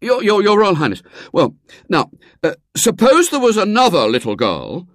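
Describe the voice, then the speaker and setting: A man's voice: sits high, wildly swooping pitch, moderate pace, dry recording, studio quality, voice pushed up. A man voicing an awkward schoolboy in a children's storybook, eager but out of his depth, hesitant and stammering as he blusters. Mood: hesitant, coaxing.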